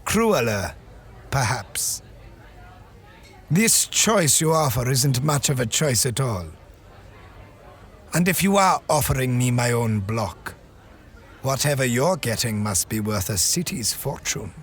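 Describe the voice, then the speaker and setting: An actor playing a proud, wary male ally in an audio drama, speaking in a measured, formal way. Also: mid-range voice, animated, a little hiss or hum.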